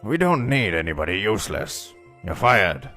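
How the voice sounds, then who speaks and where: A man voicing a harsh, scornful company boss with a gravelly voice in a manga dub. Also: low voice, big pitch swings, normal speed, pushed and bright, soft background music, full character voice. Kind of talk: anime-style manga dub acting.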